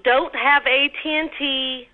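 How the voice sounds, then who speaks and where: Through a tinny, narrow phone line comes a middle-aged woman with a fairly high voice. She is blunt and combative, talking like an irritated person on a call. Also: steady tone, a little slow. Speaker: prank call recipient.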